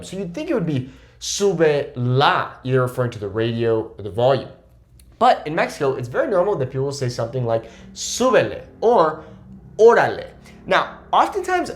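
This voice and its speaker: Young male language tutor, a bit high-voiced, animated and chatty, keeps asking "okay?". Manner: lively, explanatory, often checks in with "okay?". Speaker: Spanish teacher. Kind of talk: casual video lesson.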